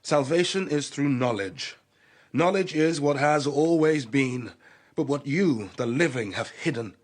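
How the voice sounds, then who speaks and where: Solemn, charismatic young god. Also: male voice, a little high. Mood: serene, preaching. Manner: solemn, incantatory, measured. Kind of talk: poetic classical radio drama acting.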